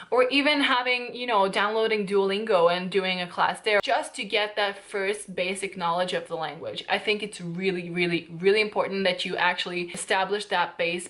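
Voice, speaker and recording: A woman's voice: medium pitch, fairly bright tone. Bubbly young woman vlogger. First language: Hungarian. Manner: bubbly, playful, chatty. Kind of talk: casual vlog to camera.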